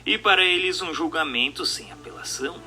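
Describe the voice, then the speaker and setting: A man's voice: a little high, a little fast. A man with a Brazilian accent reads a scripted doctrinal text as a narrator, in a formal, declamatory and rhetorical manner. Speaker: narrator of a spiritist book reading. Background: music.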